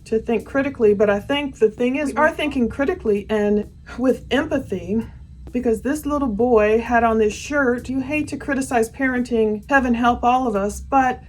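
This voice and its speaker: A woman's voice: a little high, moderate pace, darkish tone, rough recording. A woman hosting a true crime commentary, talking casually and conversationally with opinionated asides.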